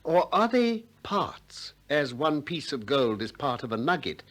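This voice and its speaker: Shrewd greek philosopher, old radio play, super varied intonation, politely ironic and probing. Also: male voice, on the high side, big pitch swings, fairly bright tone. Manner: deliberate, politely ironic, questioning. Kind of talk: 1960s radio drama acting.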